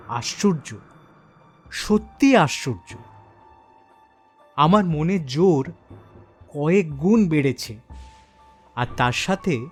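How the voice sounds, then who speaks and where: A man narrating a scripted horror story in a dramatic, suspenseful way with deliberate pauses. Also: a little high.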